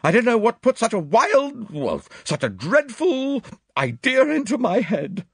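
Man voicing an indignant, high-pitched, blunt Englishwoman. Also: wildly swooping pitch, a little slow, breathy edge, no room sound, exaggerated voice. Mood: distraught. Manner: emphatic, exclamatory, sharp-tongued. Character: blunt, vehement, agitated Englishwoman, fiercely indignant. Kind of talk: audiobook character dialogue.

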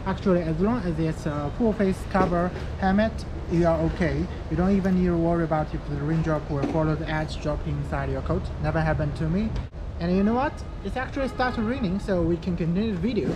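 A young man from China with a Chinese accent, speaking English as a second language in a casual motorcycle gear vlog with plain, practical phrasing and frequent pauses. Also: fairly high pitch. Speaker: motorcycle vlogger. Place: outdoors riding a motorbike in the rain, then a small room indoors. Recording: dull lo-fi audio with traffic noise.

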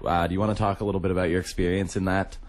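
A man's voice: sits low, level tone, rapid-fire. A young man, co-hosting a radio show with casual interview questions. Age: young adult. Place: radio studio.

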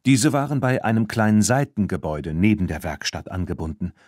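A man narrates a children's story in a fairly low voice. His delivery is scripted and expressive, and the recording is dry and close. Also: a little fast, no room sound. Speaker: audiobook narrator.

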